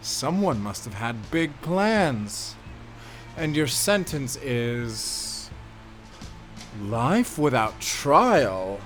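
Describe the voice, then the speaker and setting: A man playing a sadistic prison warden in an audio drama, gloating and mocking, with menacing, drawn-out delivery. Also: medium pitch, sing-song, fairly bright tone, soft background music, full character voice. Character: gloating sadistic male prison warden who relishes power. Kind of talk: scripted audio drama acting.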